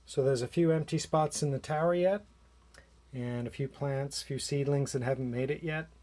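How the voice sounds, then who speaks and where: A man in his forties explaining a homemade project in a small basement utility room, in a relaxed, plain way with occasional fillers. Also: mid-range voice, normal speed. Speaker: vlogger. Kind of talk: casual show-and-tell walkthrough.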